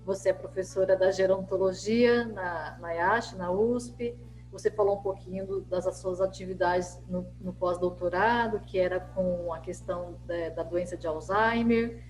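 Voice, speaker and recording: A woman's voice: fairly low pitch, unhurried, darkish tone, faint music behind, poor audio. A woman hosting a conversational interview, recapping details as she leads into her questions. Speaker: interviewer, host.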